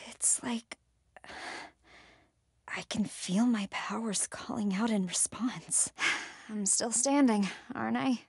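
Wary young woman, game heroine talking to herself: breathy, unhurried, sardonic. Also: on the high side, no room sound, clean studio sound, exaggerated voice. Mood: hushed wonder. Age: young adult. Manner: muttering to herself, hushed, breathy. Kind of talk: video game voice acting.

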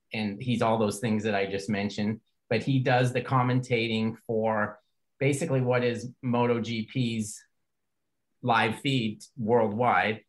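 An older man hosts a casual podcast interview. He rambles and jokes in a folksy way as he brings in a guest. His voice sits at an ordinary middle pitch. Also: rough recording. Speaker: podcast host.

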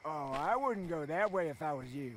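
A man playing a gruff, stubborn middle-aged sheriff with a folksy Southern US drawl in a video game. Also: fairly high pitch, animated, normal speed, a bit bright, slight background noise. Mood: wary, insistent. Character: gruff, stubborn small-town American sheriff. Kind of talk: video game cutscene acting.

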